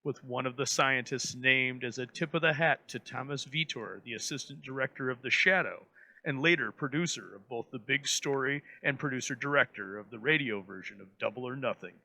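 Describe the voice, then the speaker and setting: A man narrates in a theatrical, florid manner as a presenter. His voice is very bright and sharp, mid-pitched, with a gravelly creak and deliberate dramatic pauses. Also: poor audio. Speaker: presenter introducing an old radio episode.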